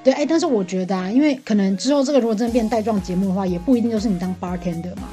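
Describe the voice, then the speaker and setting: A woman's voice: mid-range voice, racing, mellow, faint music behind. A woman with a Taiwanese Mandarin accent co-hosting a podcast in casual, teasing banter with jokey swearing. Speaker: podcast co-host.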